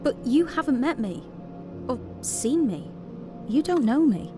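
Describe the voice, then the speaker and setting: Slow-speaking, anxious woman, music behind. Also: high voice, animated. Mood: hurt, questioning. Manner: halting, searching, emotionally raw. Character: anxious woman discovering she is part of a machine. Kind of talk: video game voice acting. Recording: background music under voice.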